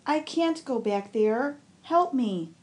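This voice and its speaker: A woman's voice: a little high, expressive, slow pace, darkish tone. A woman voicing a lonely male toy rabbit lost at sea, thinking in a wistful, pleading way. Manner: wistful, pleading inner thoughts.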